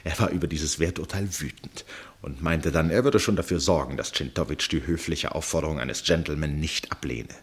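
An actor in a German radio play as a wry, detached gentleman narrator, speaking in a measured, ironic, cultivated way. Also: very deep voice, breathy edge, full character voice.

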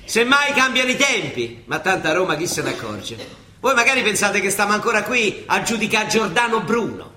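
An actor playing an older monsignor and judge with a Roman accent, halting, colloquial and ironic, in radio theatre style. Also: male voice, sits high, big pitch swings, sharp tone, reverberant, slight background noise, full character voice. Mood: wry, challenging. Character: old Roman monsignor judge, wry, rambling, quietly defiant.

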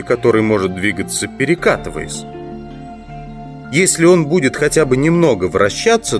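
Audio drama casting: male actor as pensive, speculative entomologist, reflective delivery, mid-pitched, music bed. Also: close to an everyday voice. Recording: music behind the voice.

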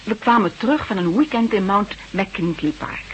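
An actress playing a solicitous, brisk wife in a Dutch radio play, coaxing and reassuring. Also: female voice, medium pitch, expressive, close to an everyday voice, poor audio. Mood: solicitous, explanatory. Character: solicitous, brisk wife tending her confused husband. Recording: old radio recording with light hiss.

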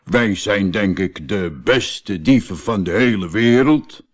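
A man playing a boastful, naive but soft-hearted thief in a children's fairy tale, with mock menace. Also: fairly low pitch, expressive, takes it slowly, pitched below the performer's own voice. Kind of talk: storybook character voice.

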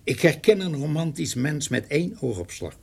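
A shrewd male agent in a radio drama, with a creaky, gravelly edge and a husky tone. He sounds assured and knowing, laying out business like someone used to handling people. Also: a little high, big pitch swings, moderate pace, a little mellow, full character voice. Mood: assured, instructing. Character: smooth-talking, shrewd male government agent recruiting a detective.

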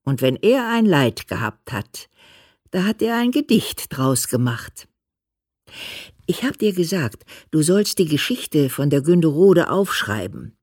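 A woman's voice: low-pitched, sing-song, measured pace, a little mellow, breathy edge, dry recording, studio quality, full character voice. An actress playing a chiding, motherly old woman in a German radio play, plain-spoken and full of homely advice. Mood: firm, advising.

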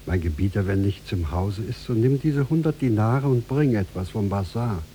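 A man's voice: on the low side, expressive, normal speed, warm tone, natural voice. A man playing a scheming, gloating old merchant villain in a theatrical 1950s German radio play. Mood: wheedling, insistent. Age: older. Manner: insistent, theatrical, with wheedling servility and gloating. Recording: noisy 1950s radio recording.

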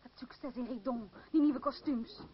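A woman's voice: on the high side, a little slow, lightly acted. A woman acting a proud, wary young actress in an old radio drama, speaking formally and with theatrical reproach. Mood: suspicious, accusing. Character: young actress Climène, proud, wary, won over by love. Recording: dull, noisy old radio play recording.

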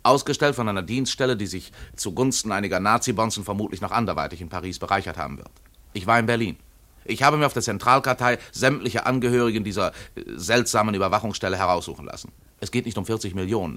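An actor playing an earnest, persistent young American detective in a German radio play, speaking deliberately and insistently. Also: male voice, lowish voice, sharp tone. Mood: urgent, insistent. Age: young adult.

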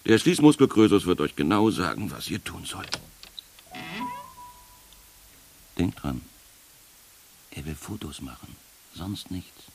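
A man playing a sardonic, world-weary narrator in a literary radio play, speaking deliberately and with dry irony. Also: low voice, animated, creaky, a little mellow, a little hiss or hum. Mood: reassuring, instructing. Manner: deliberate, poetic, dryly ironic. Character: sardonic, world-weary first-person wanderer and guide.

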